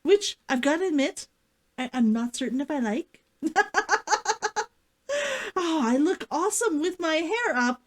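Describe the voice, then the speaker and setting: A woman hosting a read-along stream, talking to viewers and reading a story as an excitable vtuber. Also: high voice, measured pace, long pauses. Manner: giddy, giggly, gushing and repetitive while chatting.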